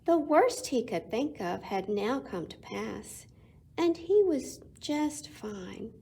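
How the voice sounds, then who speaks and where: A woman reading a picture book aloud to children as a storytime reader, with expressive delivery and dramatic pauses. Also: on the high side, creaky, slight background noise.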